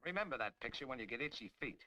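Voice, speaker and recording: An actor with an American accent playing a tough, grumbling tank soldier in a 1940s military training film, brash, slangy and emphatic. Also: male voice, mid-range voice, expressive, rapid-fire, lightly acted. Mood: urgent warning. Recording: noisy 1940s film soundtrack, clicks.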